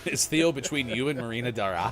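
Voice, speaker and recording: A man's voice: mid-range voice, animated, fast talker, fairly bright tone, faint music behind. A man co-hosting a morning show, bantering casually in a joking, rambling, mock-serious manner.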